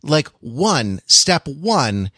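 A man chatting casually as a podcast panelist, thinking aloud in a halting way and riffing playfully. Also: on the high side, big pitch swings, sharp tone, husky, no room sound, clean studio sound. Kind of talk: casual chat.

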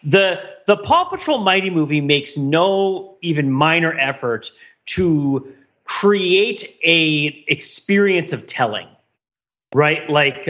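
A man with a forceful, bright voice that sits a little high, co-hosting a casual film discussion. He rambles and digresses in an animated, wryly analytical way. The audio is slightly dull and lo-fi. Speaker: podcast co-host.

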